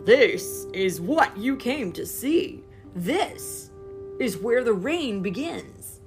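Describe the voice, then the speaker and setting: A woman voicing a big, jolly male salmon who talks in a loud, booming voice. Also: very animated, takes it slowly, music in the background, heavily acted.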